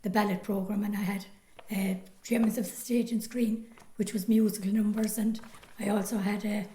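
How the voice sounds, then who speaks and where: A woman's voice: medium pitch, flat delivery, moderate pace, warm tone, reverberant. A woman with a County Cork accent in casual interview chat, speaking with emphatic agreement. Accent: Irish, County Cork. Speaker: amateur radio drama actress, former radio presenter.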